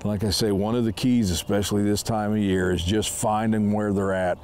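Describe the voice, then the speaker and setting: A man's voice: fairly low pitch, steady tone, normal speed, darkish tone, slight background noise. A man in his fifties hosting a fishing show, casually explaining his technique as he fishes from a boat on a lake. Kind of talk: casual instructional talk while fishing. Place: small boat on a lake outdoors.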